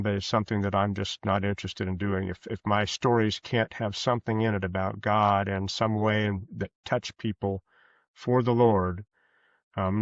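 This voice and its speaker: A man giving a reflective interview as a podcast guest, speaking earnestly and haltingly, often rephrasing as he goes. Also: fairly low pitch. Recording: muffled, roomy, echoey sound.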